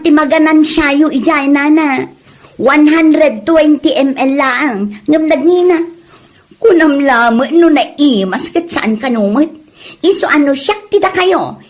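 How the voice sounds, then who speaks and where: High-pitched, spiteful village gossip, played by a woman. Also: measured pace. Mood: insinuating, spiteful. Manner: insinuating, pushy. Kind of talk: comedy audio drama acting in Ilocano.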